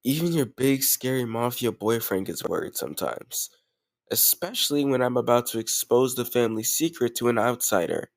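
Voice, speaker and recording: A man's voice: mid-range voice, animated, normal speed, vocal fry, no echo. Doting mafia boss boyfriend, acted by a man, close and dry. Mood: fond, impressed. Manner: affectionate, self-assured, addressing a lover directly. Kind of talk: intimate roleplay audio, scripted romantic monologue.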